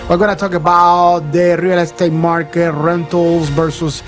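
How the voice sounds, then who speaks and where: High-pitched male bilingual morning radio host. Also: normal speed. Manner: animated announcer delivery, switches between Spanish and English. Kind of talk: upbeat bilingual morning radio show hosting. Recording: faint music bed behind. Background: faint music.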